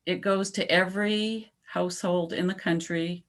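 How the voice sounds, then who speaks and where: A woman with a low, steady voice lays out a policy proposal to a local board. She explains patiently and slows down to stress her key points. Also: a little hiss or hum. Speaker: climate advocate presenting to a town board. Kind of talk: public comment at a town meeting.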